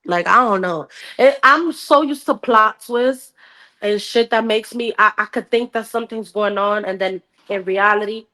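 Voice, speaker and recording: A woman's voice: medium pitch, normal speed, pushed and bright, rough recording. Emphatic woman in slangy, repetitive chat. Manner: emphatic, slangy, repeats phrases. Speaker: book club podcast host. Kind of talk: casual bilingual chat with dramatized retelling.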